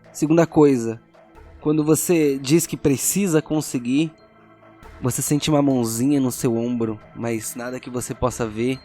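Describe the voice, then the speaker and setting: A man narrating a tabletop fantasy story as game master, in a grave, deliberate storytelling manner. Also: a little high, darkish tone. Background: faint music.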